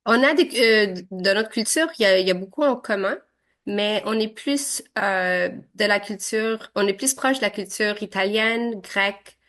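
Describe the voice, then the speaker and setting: A woman's voice: mid-range voice, moderate pace, fairly bright tone. A woman in her thirties from Syria, whose first language is Arabic, answering interview questions in a hesitant way with many fillers and restarts. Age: 30s.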